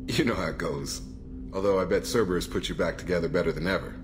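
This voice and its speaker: An actor playing a relaxed male ex-military officer in a video game, speaking in an easygoing, conversational way. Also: sits low, fast talker, music in the background, close to an everyday voice.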